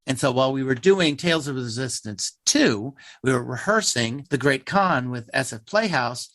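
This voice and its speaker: Animated American man telling stories. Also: medium pitch, normal speed, no room sound. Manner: animated storyteller who acts out remembered dialogue. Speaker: interview guest, theater playwright, actor and director. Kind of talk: conversational interview storytelling.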